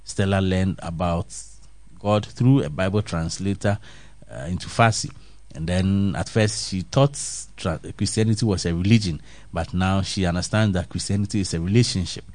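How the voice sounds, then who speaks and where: A man's voice: low voice, fairly even intonation, moderate pace, a little mellow, no echo. A man reading a faith news story aloud, then musing on it in an earnest, reflective way with restarts and fillers.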